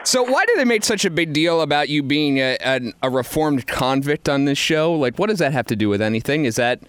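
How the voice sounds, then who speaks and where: Casual male radio host, higher-pitched voice. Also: animated, a little fast, a bit bright, clean tone, no echo. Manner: self-deprecating, informal. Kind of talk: casual, joking interview chat.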